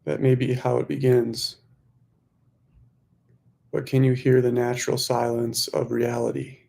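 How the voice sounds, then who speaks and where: A man leading a guided meditation, giving gentle instructions in unhurried phrases with pauses. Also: mid-range voice, monotone, vocal fry.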